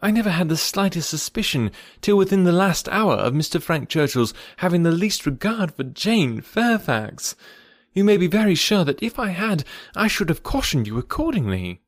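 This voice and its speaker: A man voicing a poised, articulate young gentlewoman with a measured, formal and earnest manner, in a classic novel audiobook. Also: high voice, sing-song, no room sound, clean studio sound, voice pushed up, exaggerated voice. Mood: firm, incredulous.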